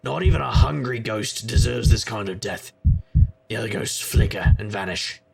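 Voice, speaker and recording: An actor playing a wry, world-weary supernatural private detective, narrating a noir audio drama in a gravelly, husky, deadpan voice. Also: male voice, fairly low pitch, moderate pace, full character voice. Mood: grim, intense.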